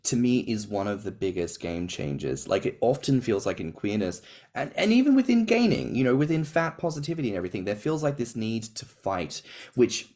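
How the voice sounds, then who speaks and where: A man's voice: on the low side, big pitch swings, brisk, a little mellow. A man in a casual podcast chat, talking expressively with big swings and emphatic stresses. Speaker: podcast co-host or guest.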